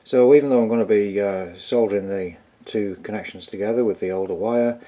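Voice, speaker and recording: A man's voice: lowish voice, fairly quick, dark tone. A man giving casual hands-on repair commentary, informally thinking aloud in a tentative way as he works. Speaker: hobbyist repairer presenting own video.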